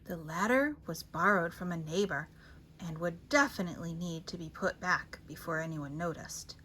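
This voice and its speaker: A woman reading children's picture books aloud as a storyteller, theatrical and expressive with dramatic pauses and emphasis. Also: on the low side, slight background noise, rough recording.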